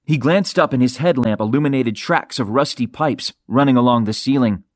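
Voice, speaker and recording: A male audiobook narrator with a mid-pitched voice reads dramatic fiction. His delivery is expressive and suspenseful. The recording is close and very dry, as from a studio microphone. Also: normal speed, dry recording.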